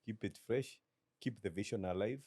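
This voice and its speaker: Kenyan guy, kenyan accent, low dark voice, dry close sound, calm and thoughtful. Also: dry recording. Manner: measured, reflective, mixes in Swahili. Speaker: guest, business owner. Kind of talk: relaxed interview talk.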